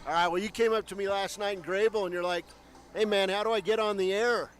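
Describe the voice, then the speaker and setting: High-voiced upbeat male radio sportscaster. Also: fairly even intonation, quick pace, faint music behind. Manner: upbeat, chatty interviewer. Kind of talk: radio pregame show hosting. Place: courtside in a gym.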